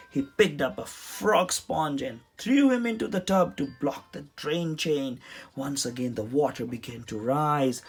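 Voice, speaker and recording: A man reading a children's story aloud in an expressive, dramatic storytelling manner. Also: medium pitch, breathy edge. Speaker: storybook reader. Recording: music behind the voice. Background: music.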